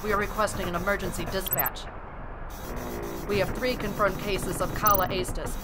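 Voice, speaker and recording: A woman playing a survey crew commander in a radio drama, making a formal, measured distress call. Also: medium pitch, fairly even intonation, heavy background noise, close to an everyday voice. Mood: urgent, formal.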